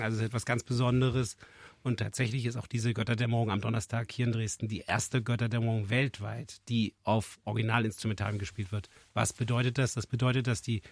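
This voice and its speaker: A man with a cold and a gravelly voice, a festival director answering interview questions in a measured, explanatory way. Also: mid-range voice, dry recording. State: has a cold, voice husky.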